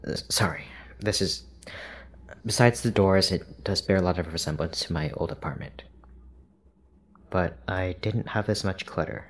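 A man playing a calm, earnest, analytical scientist in an understated audio drama, measured, formal and precise. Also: sits low, lightly acted. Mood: thoughtful, reserved. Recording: faint background ambience.

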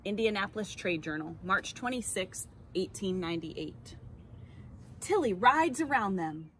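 Animated American woman reading a storybook, slow-paced. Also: medium pitch. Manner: deliberate, dramatic emphasis on key words. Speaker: read-aloud storyteller. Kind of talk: expressive children's picture book narration. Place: outdoors beside a house. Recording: clear background ambient noise outdoors.

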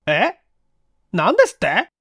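A man's voice: high-pitched, wildly swooping pitch, takes it slowly, a bit bright, slightly rough, dry recording, pitched above the performer's own voice, heavily acted. A man voicing a courteous, inquisitive apartment manager who is devoted and easily surprised, in a mystery audio drama. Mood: puzzled. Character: Okamoto, polite, puzzled, devoted male apartment manager.

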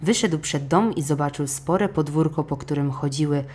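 A woman with a low voice narrates a children's fairy tale. She reads expressively as an audiobook storyteller. There is a little noise behind her. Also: normal speed, a little mellow.

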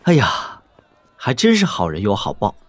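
A man's voice: lowish voice, huge pitch swings, normal speed, dry recording. A man playing an easygoing, wry young wandering nobleman in a martial-arts audio drama, talking in a casual, breezy way. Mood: breezy, amused.

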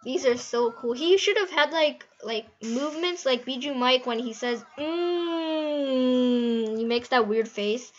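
A woman's voice: high voice, slow, highs cut off, a little hiss or hum. A woman giving casual, rambling, easily amazed gameplay commentary and giggling as she goes. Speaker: gaming video host.

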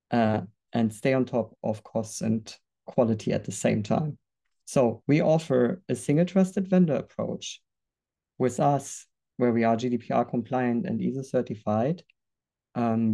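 Guy walking through a product pitch on a webinar call, warm mellow tone, lots of ums and restarts. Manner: earnest, with frequent fillers and restarts. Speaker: product presenter.

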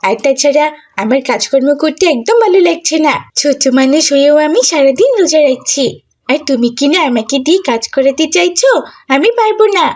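Cartoon schoolgirl played by a woman: high voice, lazy, cheeky, argues and whines. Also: animated, a little fast, a little mellow. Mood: whiny. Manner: whiny, pleading, argumentative. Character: lazy, wheedling schoolgirl dodging work, cheeky and whiny. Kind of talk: cartoon dialogue acting.

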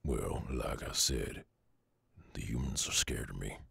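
A brooding Southern werewolf for an ASMR roleplay. The voice is rock-bottom low and thick with gravel, and it moves at a slow drawl, like a gruff loner speaking his mind. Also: fairly bright tone, no echo, full character voice. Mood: wary, grudging. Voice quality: very gravelly, husky.

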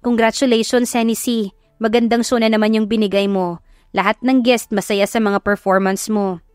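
A woman with a Filipino accent voicing a brisk, chatty female events boss in an audio drama. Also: a little high, flat delivery, darkish tone, clean tone, dry recording, clean studio sound, lightly acted. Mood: pleased. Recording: background music under the voice.

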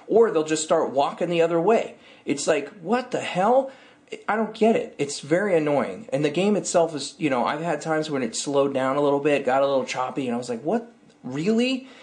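A slightly hoarse man in his thirties vents his frustration straight into a webcam. He speaks casually and sounds exasperated, and his voice rises when he complains. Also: a little high. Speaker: tech vlogger. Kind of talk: casual vlog rant. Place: dim room indoors.

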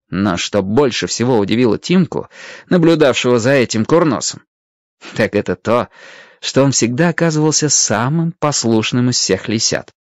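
An expressive narrator reading a children's animal story in a dramatic, scripted storytelling manner. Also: medium pitch, no echo. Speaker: audiobook narrator.

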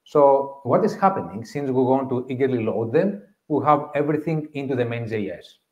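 A man with a Greek accent presents at a live developer meetup, earnestly walking the audience through each idea and circling back to his main points. His voice sits in a middle pitch. The sound is roomy and a little dull, as if picked up from across a meeting room. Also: expressive, moderate pace. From Greece. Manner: earnest, explanatory, repeats key points. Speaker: conference speaker. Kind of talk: tech meetup talk.

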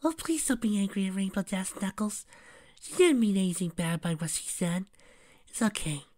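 A performer voicing a gentle, shy female pegasus pony in a soft, sweet, soothing voice. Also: medium pitch, very animated, normal speed, no echo, voice pushed up, natural voice. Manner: soothing, timid, kindly.

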